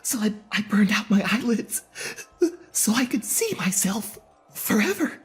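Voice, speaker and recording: An actor playing a deranged, menacing boy killer with a halting, childlike delivery in a horror audio drama. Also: sits high, big pitch swings, breathy edge, faint music behind, heavily acted. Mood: unhinged, pained glee.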